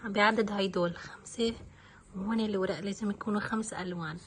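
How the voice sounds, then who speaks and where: A woman's voice: mid-range voice, fairly even intonation, quick pace, a bit bright, a little hiss or hum. A woman with a Lebanese accent, a mother talking casually in a homemade kids' craft video.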